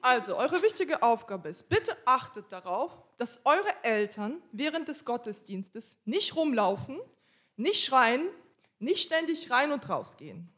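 A woman interpreting a pastor's sermon live in church, quick and casual, with small slips and restarts. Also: high-pitched, sing-song, fairly bright tone.

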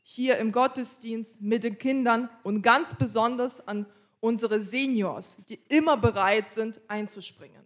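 Woman interpreting a sermon, brisk and casual. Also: on the high side. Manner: quick, casual rendering with small slips and restarts. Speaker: church interpreter. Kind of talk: live consecutive interpreting of a sermon.

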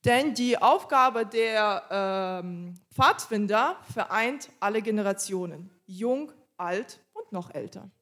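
A woman interpreting a pastor's sermon live in church, quick and casual, with small slips and restarts. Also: mid-range voice, expressive, a bit bright.

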